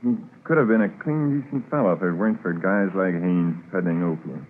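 A deep voice on a crackly, narrow old radio recording: an actor as a sober Mountie. He talks with compassion in plain 1950s radio drama style. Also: a little fast, close to an everyday voice. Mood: regretful. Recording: noisy band-limited 1950s radio recording.

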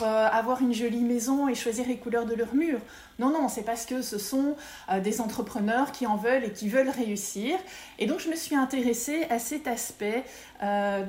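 A woman's voice: fairly high pitch, a little mellow, roomy sound. A woman, a feng shui consultant, talking casually in an interview and explaining her work with many hesitations and restarts.

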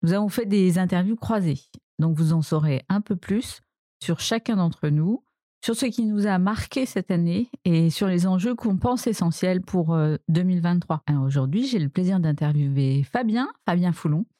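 A woman hosting a podcast, reading a measured prepared introduction before asking a question. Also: on the low side, lively intonation, mellow, no room sound. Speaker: podcast host, interviewer.

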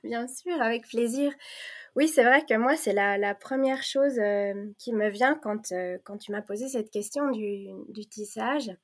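A woman's voice: on the high side, unhurried. Hesitant, earnest woman guest. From born in Provence, raised in Belgium. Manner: hesitant, many fillers and restarts, warm and earnest. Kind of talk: casual conversation.

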